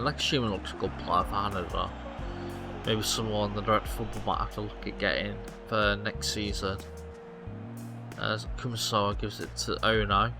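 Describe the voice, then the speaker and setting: Young male gaming host, lowish voice, loose rambling match commentary, music bed underneath. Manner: rambling play-by-play with fillers and restarts. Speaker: gaming youtuber. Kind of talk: casual game commentary. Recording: music playing behind.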